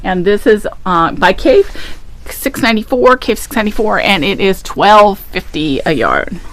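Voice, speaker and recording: A woman's voice: mid-range voice, big pitch swings, moderate pace, fairly bright tone. A woman co-hosting a casual fabric show, chatting about prints, colors and prices and laughing easily. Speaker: fabric shop co-host. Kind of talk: casual live product showcase.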